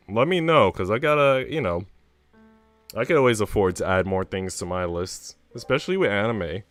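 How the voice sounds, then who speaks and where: Male streamer, low and clean voice, loose sweary chat delivery, music underneath. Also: a bit bright, clean tone. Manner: informal, rambling, frequent swearing. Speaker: live streamer. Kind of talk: casual livestream chat.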